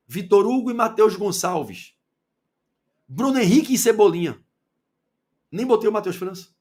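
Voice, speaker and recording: A man from Salvador with a Bahian accent giving an opinionated football commentary monologue, firing rhetorical questions and swinging between loud and low. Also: creaky. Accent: Bahian Portuguese. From Salvador, Bahia, Brazil.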